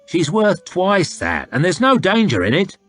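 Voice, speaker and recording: A man voicing a coarse, bullying soldier who haggles loudly over a price. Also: huge pitch swings, a little slow, exaggerated voice. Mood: haggling. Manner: loud, high and bullying. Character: coarse loud male slave-driving soldier, crude and haggling.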